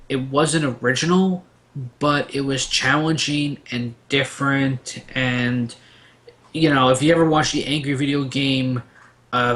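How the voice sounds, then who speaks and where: Male panelist, average pitch, casual game-talk style, opinionated rambler who likes to tell stories. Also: fairly bright tone. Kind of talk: casual gaming discussion.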